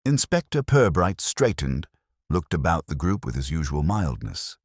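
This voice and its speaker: A man narrating a literary mystery story in a measured, formal way. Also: a bass voice, big pitch swings, no echo, clean studio sound.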